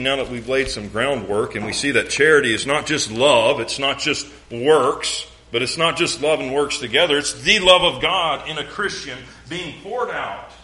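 A man's voice: mid-range voice, bright, forceful tone, slight background noise, low-quality recording. A man from the American South with a Southern accent, preaching a sermon with folksy jokes and mimicked sounds.

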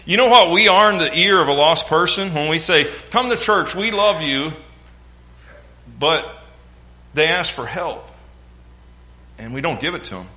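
Bright-voiced Southern preacher. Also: male voice, a little high, expressive, a little slow, long pauses. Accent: Southern American. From American South. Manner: folksy, joking asides, mimics sounds. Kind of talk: sermon.

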